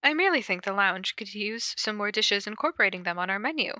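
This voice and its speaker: Teasing, courteous young schemer voiced by a woman, bright and dry-recorded, storybook-style reading. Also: mid-range voice, expressive, fast talker, no room sound. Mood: politely coaxing. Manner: formal, smooth-worded, teasing politeness. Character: sly, mock-innocent young man, polite and teasing.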